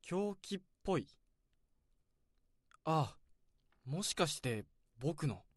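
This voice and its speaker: An earnest young office junior in a radio drama. He speaks politely and eagerly, in a voice that sits a little high. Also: sing-song, takes it slowly, no echo, clean studio sound, exaggerated voice. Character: earnest young male office junior, former baseball player. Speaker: junior coworker.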